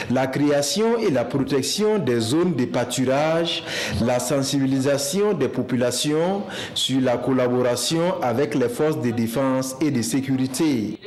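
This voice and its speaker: A man's voice: medium pitch, animated, unhurried, darkish tone. A male reporter reading scripted news narration.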